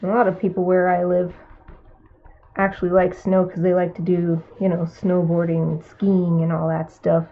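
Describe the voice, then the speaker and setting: A woman's voice: lowish voice, completely flat delivery, moderate pace. A woman with a Californian accent, an artist chatting casually while she paints live, musing aloud and murmuring to herself between remarks. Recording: tinny phone-like sound.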